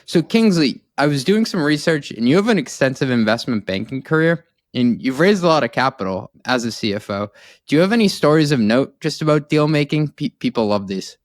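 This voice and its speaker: A man's voice: fairly high pitch, animated, brisk, no echo, clean studio sound. A man hosting a podcast, asking curious, conversational questions of his guest. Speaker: podcast host. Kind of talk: interview conversation.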